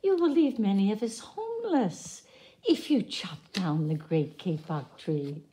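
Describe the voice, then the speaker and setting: A woman voicing a tiny squeaky male tree frog in a storybook, piping and pleading. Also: big pitch swings, a little slow, dark tone, slight background noise.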